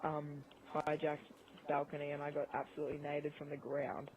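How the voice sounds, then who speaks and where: A man's voice: on the high side, completely flat delivery, normal speed, noisy background. A man in a casual gaming chat over an online voice call, with game audio behind him. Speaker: podcast guest. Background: video game audio.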